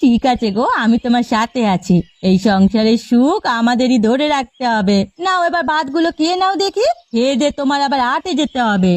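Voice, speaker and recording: A woman voicing a greedy, doting village wife, wheedling, in an animated folk tale. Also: sits high, fairly quick, few pauses, no room sound. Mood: pleased, scheming.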